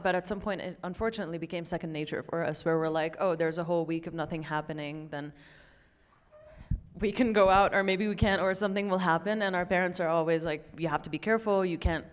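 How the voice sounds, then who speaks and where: A woman from Lebanon in her thirties, a filmmaker answering questions in a reflective, hesitant way with many fillers. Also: fairly low pitch. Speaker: filmmaker guest. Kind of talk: conversational Q&A answers.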